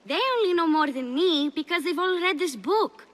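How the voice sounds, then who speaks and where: Young palace intriguer for a game drama: high-pitched, coy, slyly theatrical, devoted to her mistress. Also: normal speed. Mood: coy, curious. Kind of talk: video game cutscene acting.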